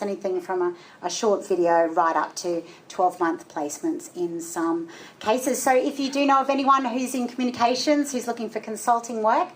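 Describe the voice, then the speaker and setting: A woman with a voice of ordinary pitch makes a short pitch for her business to a live room. She speaks clearly and works through a tidy list of services. Faint noise can be heard behind her. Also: poor audio. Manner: clear, organized listing. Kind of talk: short spoken pitch at a networking event. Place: event room with an audience.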